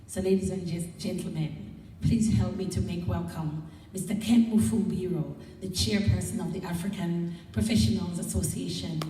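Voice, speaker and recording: A woman acting as master of ceremonies gives a formal, measured introduction of a speaker. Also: fairly low pitch, fairly even intonation, warm tone. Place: large indoor event room. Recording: echoey hall, distant, noisy.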